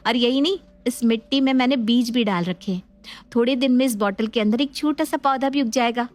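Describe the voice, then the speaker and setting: A woman's voice: on the high side, a little fast, lightly acted. A woman playing an earnest young daughter-in-law. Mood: explaining. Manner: earnest, persuasive. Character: young newlywed daughter-in-law, patient and modern-minded. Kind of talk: Hindi family drama acting.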